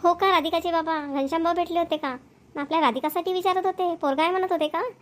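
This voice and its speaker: A woman voicing a proud, sharp-tongued rural wife in a cartoon, bickering and boastful. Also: very high voice, steady tone, fast. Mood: teasing. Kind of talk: cartoon voice acting.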